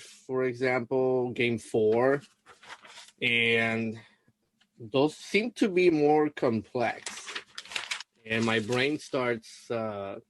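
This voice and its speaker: Student on a video call: young Puerto Rican man, Spanish native, unsure, slow, lots of fillers. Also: medium pitch, a bit bright, a little hiss or hum. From Puerto Rico. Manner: hesitant, many fillers and restarts. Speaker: LSAT student being coached. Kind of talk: casual coaching call.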